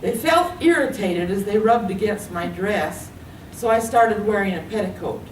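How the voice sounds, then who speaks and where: A woman's voice: mid-range voice, expressive, moderate pace. An older woman with an American accent reads a personal story aloud expressively at a public reading. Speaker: writer reading own work. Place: public reading in a library room. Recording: echoey room, distant mic, faint noise.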